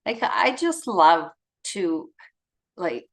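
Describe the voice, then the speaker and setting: A woman's voice: lowish voice, big pitch swings, very slow, fairly bright tone. A woman leading an online study gathering, reading aloud and adding casual, rambling comments as she searches for words. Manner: informal, chuckly, trails off and searches for words. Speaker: reader and leader of a spiritual study gathering. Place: online group video call.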